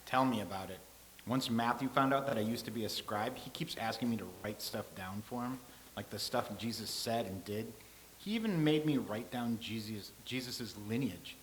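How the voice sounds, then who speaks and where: A man's voice: mid-range voice, moderate pace, lightly acted, poor audio. Man reading an ancient scribe: earnest, solemn, philosophical; medium voice, light hiss. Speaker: actor in a staged reading. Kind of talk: staged play reading.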